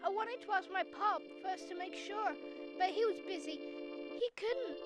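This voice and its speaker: Radio drama boy played by a woman: very high-pitched, frightened but sincere, pleading, light music underneath. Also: normal speed. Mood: earnest, pleading. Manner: pleading, earnest. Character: earnest frightened young errand boy George.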